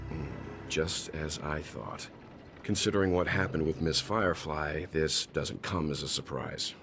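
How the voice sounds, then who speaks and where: Deep-voiced, composed investigator. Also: male voice, steady tone, music in the background. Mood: grave, measured. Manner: measured, deliberate, formal phrasing. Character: composed, thoughtful mature man, an investigator-traveller. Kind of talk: video game voice acting.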